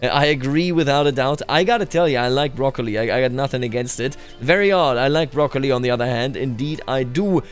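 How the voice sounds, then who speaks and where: A man hosting a roleplay game video, commenting in a measured, deliberate way with emphatic repetition, his voice slightly tender. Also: mid-range voice, soft background music. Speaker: gaming video host.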